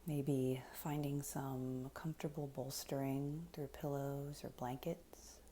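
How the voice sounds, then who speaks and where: Low, hushed woman guiding meditation. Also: level tone, slight background noise. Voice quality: soft, breathy, often near a whisper. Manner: soothing, unhurried, gentle instruction with long pauses. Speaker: meditation guide. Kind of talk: guided meditation.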